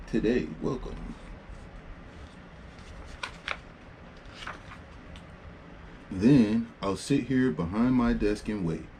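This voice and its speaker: A man's voice: low voice, warm tone, slight background noise, poor audio. A man voicing a polite, bossy young girl who plays a welcoming, chatty librarian in a storybook. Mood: daydreaming, welcoming. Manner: gracious, chatty, mildly fussy. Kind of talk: storybook read-aloud dialogue.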